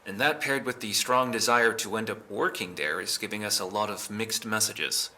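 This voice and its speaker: A man acting as an accusing police officer in an audio drama. The voice sits a little low with a hard, bright edge. He is measured, pointed and openly suspicious.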